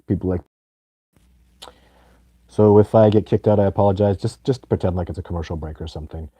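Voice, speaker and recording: Man, hoarse raspy voice, very deep, rambling emphatic live chat. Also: no echo, dull audio. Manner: rambling, emphatic, many false starts. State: hoarse, sore throat. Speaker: livestream host answering viewer questions.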